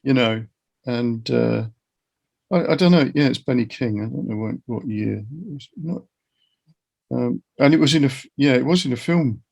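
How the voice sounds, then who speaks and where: A middle-aged man chats in a casual interview with a husky voice and plenty of gravelly creak. He is reflective and earnest, setting out his opinions as he goes. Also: mid-range voice, moderate pace, darkish tone, muffled recording.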